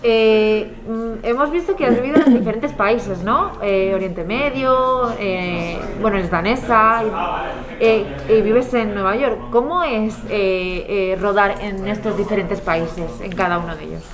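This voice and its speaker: A woman asking questions as an interviewer in a halting, conversational way. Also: medium pitch. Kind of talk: casual festival interview questions. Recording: crowd chatter behind voice.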